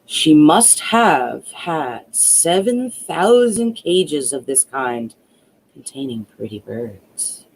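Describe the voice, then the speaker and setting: Woman storyteller, slow deliberate pace, highly animated pitch, dramatic fairy-tale narration. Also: low-quality recording.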